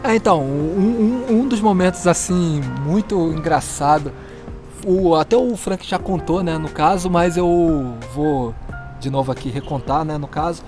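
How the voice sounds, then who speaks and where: Guest: Brazilian man, highish voice, rambling storyteller who chuckles, light music in back. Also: unhurried. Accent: Brazilian Portuguese. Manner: meandering, searching for words, chuckling. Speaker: guest, fellow tabletop player. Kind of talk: casual storytelling. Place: stairwell of an apartment building.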